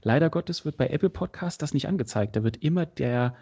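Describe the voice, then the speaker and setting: A man's voice: fairly high pitch, animated, fairly quick, a little mellow, slightly rough. A man from Berlin hosting a casual podcast interview, chatty and enthusiastic, often addressing his listeners directly. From Berlin, Germany. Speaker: podcast host.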